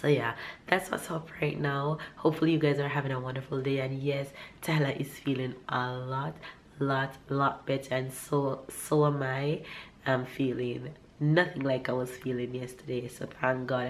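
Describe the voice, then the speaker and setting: Young Jamaican woman vlogger, very low and a little hoarse, rambling chat to camera, faint room hiss. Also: flat delivery, moderate pace. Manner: rambling, warm, upbeat, with restarts. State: slightly hoarse, recovering from a cough. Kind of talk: casual vlog chat to camera. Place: living room at home.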